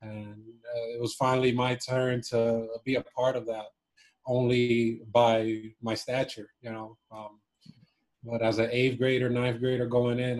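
A man in his twenties from Cuba and Miami, chatting casually and thoughtfully as an interview guest, pausing as he searches for words. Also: mid-range voice, a little mellow, rough recording. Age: 20s. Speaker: interview guest, former basketball player. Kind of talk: casual reflective interview chat.

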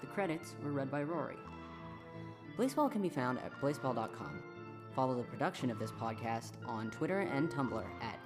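A podcast creator reading scripted end credits. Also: medium pitch. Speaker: credits reader. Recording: clear music behind the voice.

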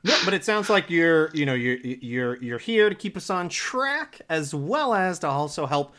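A man's voice: fairly high pitch, very animated, normal speed, bright, forceful tone. A man hosting a casual gaming podcast, upbeat and enthusiastic, a dad in his thirties. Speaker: podcast host.

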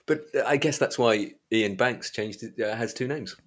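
A man hosting a podcast, chatting casually about books in a halting way, often repeating and correcting himself. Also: medium pitch. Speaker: podcast host. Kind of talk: casual book chat.

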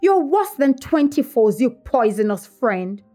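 A woman's voice: fairly high pitch, big pitch swings, normal speed, darkish tone, soft background music, pitched above the performer's own voice. A woman voicing an infatuated, defiant young girl in an audiobook novel, petulant and impassioned. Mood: indignant. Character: infatuated, passionate teenage girl, indignant and defiant.

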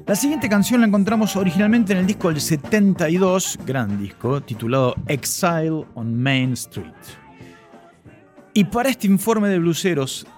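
A man's voice: sits high, expressive, normal speed. A man presenting a music radio show from a script, close to a studio microphone.

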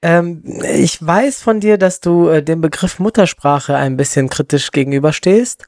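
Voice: mid-range voice, moderate pace, a little mellow, no room sound. A co-host with a mid-range voice laughs easily and nudges the guest to explain. The recording is dry, with no room sound. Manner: laughs often, prompts the guest. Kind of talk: casual conversation.

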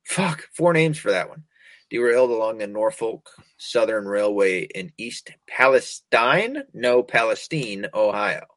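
A man's voice: lowish voice, animated, unhurried, a bit bright, no room sound. A man from the United States hosting a casual talk show, ranting sarcastically, swearing constantly and stumbling over hard words. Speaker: podcast host. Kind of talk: casual profane rant.

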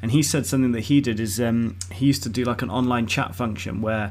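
A man's voice: medium pitch, steady tone, quick pace, a little mellow. A man hosting a podcast, chatting eagerly in a loose, casual way. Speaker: podcast host.

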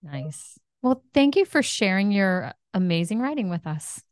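A woman's voice: medium pitch, sing-song, unhurried, a little mellow, no room sound, studio quality. A woman co-hosting a school podcast, chatting and interviewing in an encouraging way. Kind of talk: conversational interview.

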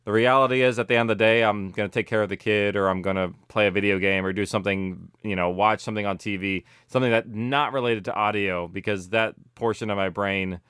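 Clear, low-voiced man chatting. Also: fast talker, hardly any pauses, no echo, clean studio sound. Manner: animated rambling, self-correcting, peppered with "like" and "you know". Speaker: interview guest, audio drama producer. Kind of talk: casual interview chat.

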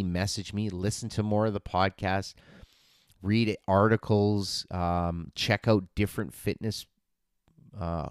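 A man's voice: lowish voice, smooth, no echo, studio quality. A man in his forties, a fitness coach hosting a podcast, talking in an earnest, motivating way with frequent fillers and restarts. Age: 40s. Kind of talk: casual solo podcast talk.

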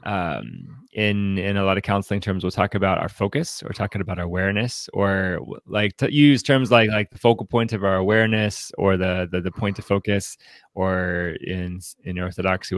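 A man hosting a podcast, discussing in a casual way, haltingly, often repeating words and saying "like". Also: low-pitched, dry recording, studio quality. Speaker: podcast host.

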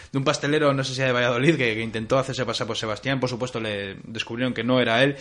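A man co-hosting a history show, telling stories in a lively way with stammered restarts. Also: medium pitch, quick pace. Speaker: history co-host. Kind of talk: casual history chat.

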